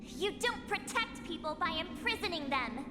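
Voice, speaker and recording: Very high-pitched perky teenage game heroine. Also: female voice, expressive, normal speed. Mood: indignant. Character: bubbly, headstrong teenage girl adventurer seeking her forgotten past. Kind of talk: video game voice acting. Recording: game music behind the voice.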